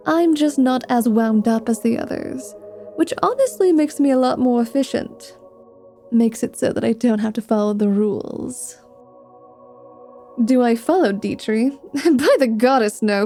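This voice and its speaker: A woman voicing a flirtatious, mischievous vampire paladin in an ASMR roleplay, with a teasing, drawn-out delivery. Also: a little high, dark tone. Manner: slow, teasing, sultry delivery with drawn-out words.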